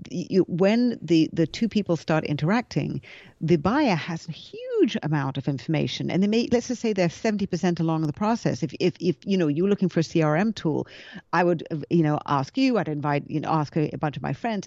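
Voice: mid-range voice, sing-song, a little fast, darkish tone, dry recording, highs cut off. A guest explaining ideas through examples, with frequent hesitations and restarts. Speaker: interview guest. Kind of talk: explanatory conversational talk.

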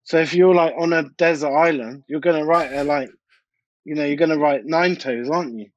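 A man's voice: a little high, fairly quick, no echo, highs cut off. A British man, a DJ and producer, chatting informally and anecdotally as an interview guest. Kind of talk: casual interview chat.

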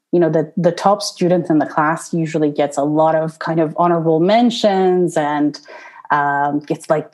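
A woman's voice: low voice, darkish tone. A woman with an Iranian accent, a podcast guest talking casually and laughing often between fillers. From Iran. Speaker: guest, tutoring company founder. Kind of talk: casual interview talk.

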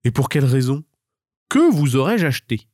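A man's voice: mid-range voice, very animated, normal speed, a little mellow, no room sound, clean studio sound, voice pushed up. A man voicing a proud, clever mother hen, formal and theatrical, in a children's tale. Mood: indignant.

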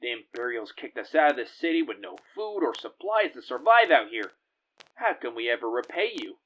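A man's voice: mid-range voice, big pitch swings, brisk, fairly bright tone, lo-fi sound. A man playing a humble, grateful refugee settler in a space audio drama, earnest and given to awed exclamations.

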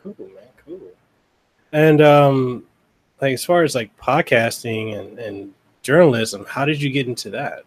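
Casual male podcast host, mid-pitched voice. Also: measured pace, husky. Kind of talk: casual chat.

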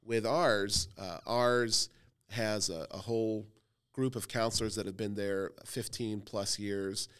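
A low-voiced man in his thirties, smooth and clear, chatting easily as a youth pastor on a church podcast. He talks loosely and warmly about himself, full of little fillers and wry self-deprecating asides. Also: steady tone, slow pace. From Cleveland, Ohio. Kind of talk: casual podcast conversation.

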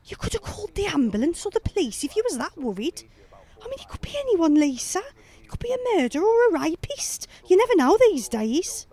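A woman acting high-voiced mum, exasperated. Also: sing-song, a little fast, dark tone. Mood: scolding. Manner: scolding, colloquial. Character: nagging, scolding, exasperated British mother. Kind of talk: fan-made audio drama acting.

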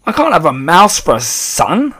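A man's voice: a little high, wildly swooping pitch, moderate pace, slightly rough, voice pushed up, full character voice. A man voicing an angry, blustering middle-aged English father in a storybook, rude and spluttering. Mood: shocked. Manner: rude, shouting, spluttering, stammering when shocked.

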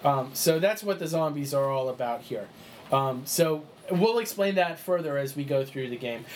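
A man's voice: on the high side, animated, moderate pace, slight background noise. A man running a tabletop game session, explaining the rules in a chatty way with lots of fillers and restarts. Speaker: game master.

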